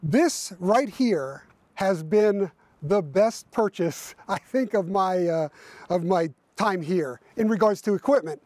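A man's voice: high voice, expressive, slow pace, darkish tone, no echo. A man in his fifties reviewing his tractor outdoors by a barn in winter, explaining casually with lots of fillers and restarts. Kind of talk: casual equipment review to camera.

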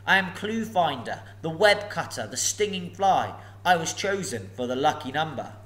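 Man putting on a small clever hobbit, polite, flattering, riddling, higher and brighter. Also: moderate pace.

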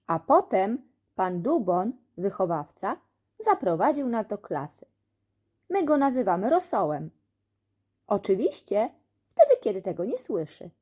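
A woman reading a children's storybook aloud as an expressive audiobook narrator. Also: medium pitch, a little slow, breathy edge. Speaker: audiobook reader.

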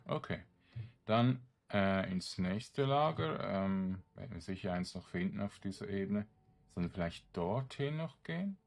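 A man giving casual gameplay commentary, musing aloud to himself in short, halting remarks. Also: deep voice, creaky. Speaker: gamer, let's play host.

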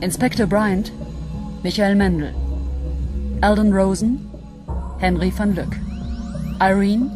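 A woman reading radio drama credits as an announcer, in a measured, clipped list delivery. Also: mid-range voice, over music.

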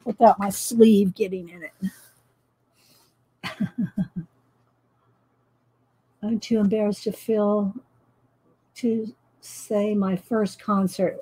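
A woman, chatting loudly while she paints and tells stories on her coloring livestream, laughing often and answering viewers. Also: medium pitch, fairly even intonation, extremely slow, pauses often, a little hiss or hum, low-quality recording. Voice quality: loud voice. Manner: chatty storyteller, laughs often, reads live chat. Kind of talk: casual chat while painting. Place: home craft table, indoors.

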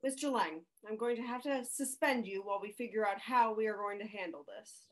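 A woman's voice: medium pitch, brisk, close to an everyday voice. A woman playing a stern middle-aged school principal in a comedy table read, firm and formal. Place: indoor room, video call.

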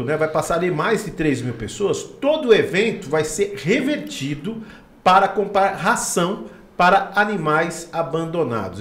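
A man's voice: on the high side, roomy sound. A middle-aged man, presenting conversationally as a podcast host. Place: small podcast studio. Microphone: close podcast microphone on boom arm.

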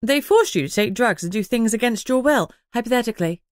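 A woman's voice: on the high side, expressive, brisk, no room sound, studio quality, voice pushed up, lightly acted. A woman voicing a protective middle-aged mother in an audiobook, matter-of-fact and fussing.

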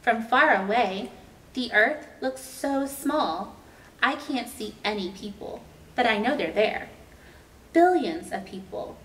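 A young woman reads a picture book to small children in an expressive storytime style. She takes her time with each phrase. The recording is roomy, picked up at a distance from the mic, with faint noise. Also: fairly high pitch, takes it slowly. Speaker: library storytime host reading aloud. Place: indoor room with painted mural.

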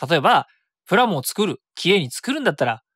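Animated, high-pitched man hosting radio. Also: fast talker, breathy edge, no room sound, studio quality. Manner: chatty, self-interrupting, quoting his own thoughts aloud. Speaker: web radio host. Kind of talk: casual solo radio chat. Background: background music.

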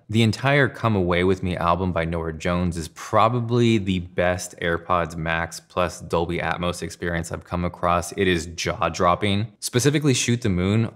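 A man with a deep voice hosts a tech review. He speaks to the camera in an enthusiastic, emphatic way, as someone who is explaining gear from a studio desk. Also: a bit bright. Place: indoor studio room at a desk.

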